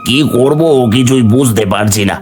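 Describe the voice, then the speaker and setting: A proud but despondent cartoon farmer, acted by a man. His mid-pitched voice is plaintive and burdened, with faint music underneath. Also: moderate pace, close to an everyday voice. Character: proud, troubled elder-brother farmer, despondent over losses.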